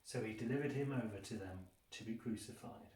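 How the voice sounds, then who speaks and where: A man's voice: on the low side, fairly quick, mellow, a little hiss or hum. A man giving a dramatic scripture reading, emphatic and raising his voice on quoted speech. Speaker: scripture reader. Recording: echoey room, distant microphone.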